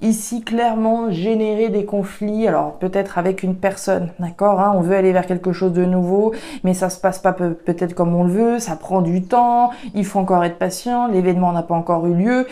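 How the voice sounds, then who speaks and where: A woman's voice: lowish voice, fairly even intonation, moderate pace, hardly any pauses, dark tone. A woman with a regional French accent doing a casual card reading for viewers, thinking aloud with frequent fillers and restarts. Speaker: tarot and oracle card reader.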